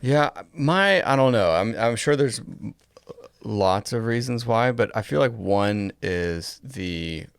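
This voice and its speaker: A man's voice: medium pitch, lively intonation, slow pace, gravelly, clean tone, no echo, clean studio sound. A man co-hosting a casual podcast discussion, speaking in a reflective, tentative way. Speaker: podcast co-host.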